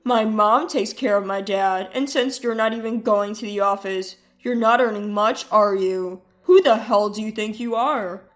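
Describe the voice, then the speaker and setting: A woman's voice: mid-range voice, moderate pace, hardly any pauses, close to an everyday voice. Woman doing a blustering, dismissive husband. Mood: contemptuous. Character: boastful, defensive husband, contemptuous of his wife. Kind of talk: dramatized narrated family story.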